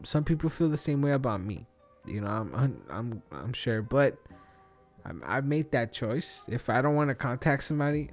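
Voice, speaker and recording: A man in his thirties chatting casually and solo as a podcast host, thinking aloud in a rambling, slangy way. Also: mid-range voice. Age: 30s. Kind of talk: casual solo podcast chat, answering questions.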